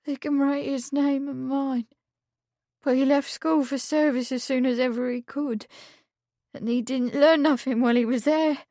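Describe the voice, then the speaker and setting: A woman's voice: high voice, fairly even intonation, moderate pace, warm tone, no echo, studio quality, pitched above the performer's own voice. A woman voicing a frightened young housemaid who talks in rustic dialect and pleads through tears. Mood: bitter. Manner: rustic dialect, sobbing pleas.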